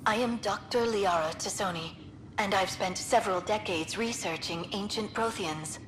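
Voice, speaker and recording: An actress playing a polite, scholarly young alien archaeologist in a video game, speaking in an earnest, formal and courteous way. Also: female voice, medium pitch, fairly bright tone, close to an everyday voice, low-quality recording. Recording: music playing behind.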